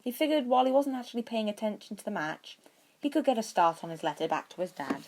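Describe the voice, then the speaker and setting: A woman's voice: medium pitch, big pitch swings, fast, darkish tone. A woman reading scripted fiction aloud as an audiobook-style narrator.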